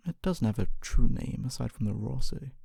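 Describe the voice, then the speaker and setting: A performer voicing a kindly, humble fantasy city guard in a soft-spoken ASMR roleplay, often whispering, with a gentle, hesitant and reassuring manner. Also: medium pitch, expressive, brisk, creaky, slightly rough, no room sound, clean studio sound, exaggerated voice. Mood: gentle, chatty, hesitant. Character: kindly, humble city guard, wannabe knight, calming and helpful.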